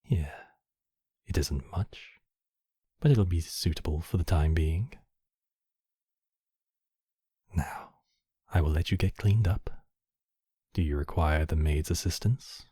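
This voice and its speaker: Demon king for intimate ASMR roleplay male actor: cavernously low, husky breathy voice, possessive and courtly, threatening to others yet gently sheltering, measured delivery. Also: studio quality, exaggerated voice. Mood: courteous, inviting. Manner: formal, courtly, measured phrasing with soft breathy drops. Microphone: close microphone, dry.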